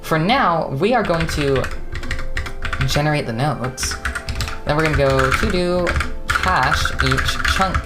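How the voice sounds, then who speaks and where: A woman's voice: low voice, lively intonation, takes it slowly, long pauses, loud music behind the voice. A young Canadian woman with a West Coast accent, chatting casually with her stream viewers, rambling with lots of fillers and frequent laughs.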